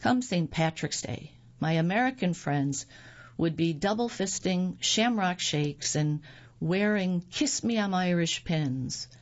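A woman reading magazine articles aloud on the radio in a measured, scripted delivery. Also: fairly low pitch, expressive. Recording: dull lo-fi recording.

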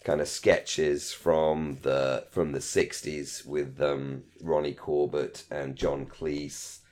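A man's voice: rumbling low, little pitch movement, takes it slowly, a little mellow. A man with a British accent in casual discussion, hesitant and chatty, often circling back to rephrase himself. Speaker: podcast co-host. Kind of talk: casual chat, discussion of a radio comedy.

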